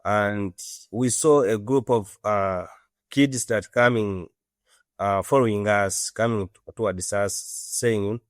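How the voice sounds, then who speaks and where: A Ugandan-accented man in his thirties speaks as an interview guest. His voice sits a little low, and he explains things earnestly and haltingly, with a dry, unechoed sound. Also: no echo. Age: 30s. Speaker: interview guest, orphanage director. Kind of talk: conversational interview answers.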